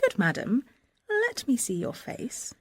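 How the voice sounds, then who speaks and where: A young woman passing as a gentleman envoy, earnest and ardent. The verse is unhurried and lyrical, with bold feeling, in classical radio drama style. The sound is close and dry. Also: fairly high pitch, wildly swooping pitch, slightly rough, dry recording, exaggerated voice. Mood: admiring, wry. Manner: earnest, lyrical, deliberate delivery.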